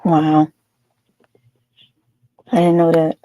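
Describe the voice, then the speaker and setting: A woman's voice: low-pitched, completely flat delivery, drawn-out words, dark tone, no echo. A woman hosting a podcast, giving short affirming responses to her guest. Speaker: podcast host. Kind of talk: interview, listening and reacting.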